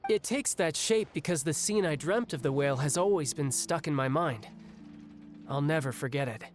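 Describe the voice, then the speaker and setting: An actor playing a young, restless, battle-hungry warrior in a video game, speaking in a breezy, reflective way. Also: male voice, a little high, moderate pace, lightly acted. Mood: wistful, casual. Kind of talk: video game cutscene acting. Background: game music.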